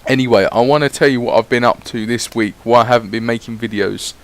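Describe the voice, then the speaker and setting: A man's voice: mid-range voice, fairly even intonation, fast talker. A man giving casual, rambling voice-over commentary on his gameplay, swearing freely as he chats. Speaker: gaming YouTuber.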